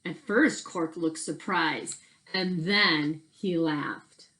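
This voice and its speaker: A woman reading a children's storybook aloud as a teacher, with deliberate, emphatic phrasing and expressive narration. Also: low voice.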